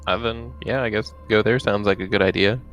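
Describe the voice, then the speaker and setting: Male tabletop player, gravelly, slightly low, loose casual chat. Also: steady tone, a little fast, fairly bright tone, dull audio, faint music behind.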